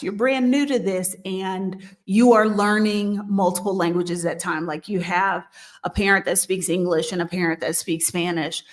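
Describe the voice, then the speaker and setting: Woman, casual talk, unhurried, measured, frequent pauses and restarts. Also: mid-range voice. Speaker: host of a move-abroad channel. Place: living in Mexico.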